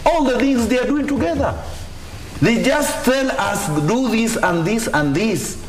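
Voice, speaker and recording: A man's voice: extremely high pitch, expressive, a little slow, reverberant, a crowd in the background, poor audio. A man with a Kenyan accent, a religious leader giving impassioned, repetitive, exhorting answers in a TV interview. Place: TV studio. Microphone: lapel mic.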